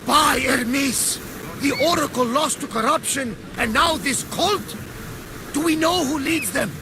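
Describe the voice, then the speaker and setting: An actor playing a hearty, pious old ship captain in a video game. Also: male voice, extremely high pitch, measured pace, noisy background. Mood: shocked. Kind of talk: video game cutscene acting.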